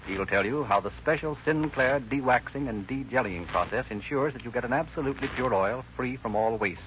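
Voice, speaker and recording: A man's voice: mid-range voice, fairly quick, fairly bright tone. An American man announcing and narrating in a scripted 1930s radio style. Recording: noisy, muffled old radio recording.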